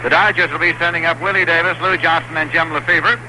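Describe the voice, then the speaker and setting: 1960s radio baseball announcer, man. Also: fairly high pitch. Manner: measured, steady play-by-play calling. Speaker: play-by-play announcer. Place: stadium broadcast booth. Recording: 1960s AM radio broadcast with stadium crowd noise. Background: crowd murmur.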